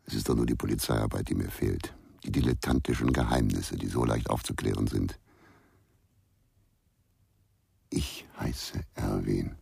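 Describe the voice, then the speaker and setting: A weary former policeman in a radio crime drama. His voice sits extremely low, full of heavy fry and husky grain. He talks tersely and broodingly, recorded close and dry. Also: normal speed, darkish tone, dry recording, heavily acted. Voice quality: gravelly, husky. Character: brooding, weary male ex-policeman with a drinking past.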